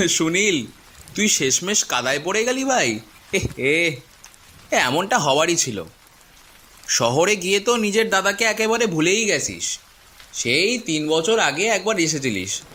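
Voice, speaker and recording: Cartoon villager, played by a man: young, anxious talker, high pitch, very lively delivery, repeats himself. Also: a little hiss or hum, exaggerated voice. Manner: exclamatory, folksy, repeats himself. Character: anxious, chatty young village man, teasing older cousin. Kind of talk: cartoon voice acting.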